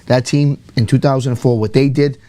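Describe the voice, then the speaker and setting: A man's voice: medium pitch, steady tone, normal speed, dark tone. A man co-hosting a casual sports chat, blunt and opinionated. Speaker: co-host.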